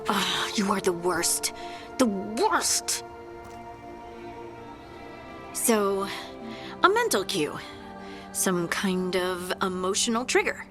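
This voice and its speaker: An actress playing an eager, easily flustered young woman adventurer in a video game, earnest and excitable, halting when shy. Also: medium pitch. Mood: playfully frustrated. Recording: game music behind the voice. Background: game soundtrack music.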